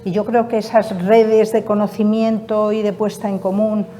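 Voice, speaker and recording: A woman's voice: medium pitch, level tone, normal speed, mellow, slight background noise. A woman representing a patients' association, answering interview questions emphatically and repeating her key points. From Spain.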